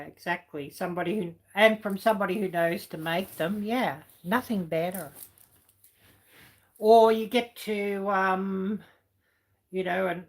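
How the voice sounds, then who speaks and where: A woman in middle age chats away on her livestream, her voice croaky and a touch low. She rambles, filling pauses with ums and you knows, as she answers her viewers. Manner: rambling with many fillers, chatty. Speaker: livestream host reading chat. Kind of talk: casual chat.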